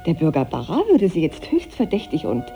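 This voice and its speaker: An actress playing an alluring, witty Parisian mistress in a German radio play, coquettish and ironic. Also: female voice, on the low side, wildly swooping pitch, a little fast, dark tone, faint music behind. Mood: teasing.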